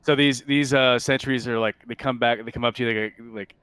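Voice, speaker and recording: A man narrating a tabletop roleplaying game as game master in a halting, conversational way. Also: medium pitch, steady tone, a bit bright, smooth, no room sound.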